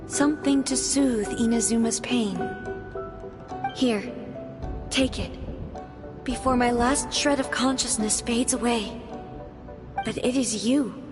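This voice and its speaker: A gentle goddess speaks in a fairly high voice over clear background music. She is a fading spirit in a fantasy game, tender, wistful and formal in her serenity. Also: slow pace, long pauses. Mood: tender, solemn. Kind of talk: scripted game voice acting. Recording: music behind the voice.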